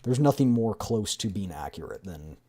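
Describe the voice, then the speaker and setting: A streamer chatting casually in a rambling, repetitive, excitable way while doing a voiced readthrough of a game story. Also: low voice, normal speed, slight background noise.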